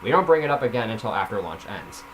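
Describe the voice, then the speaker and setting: A man with a fairly low voice reads a story aloud in an informal way, slipping between the text and his own critical commentary. The recording has clear background noise. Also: very animated, fast talker, muffled recording. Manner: informal, self-critical commentary while reading. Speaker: reader of their own story. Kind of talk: casual read-aloud of a story with off-script asides.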